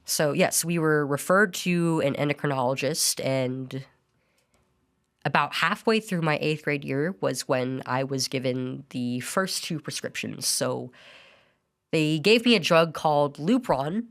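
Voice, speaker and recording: A woman's voice: deep voice, a little slow. A young adult woman telling her personal story as a podcast guest, earnest and often restarting her sentences. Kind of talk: personal testimony in a podcast interview.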